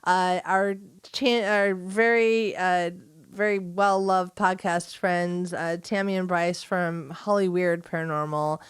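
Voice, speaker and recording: American woman podcast host just chatting, lowish voice, rambly and informal, really dry recording. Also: steady tone, slow, clean tone, no echo. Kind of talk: casual chat.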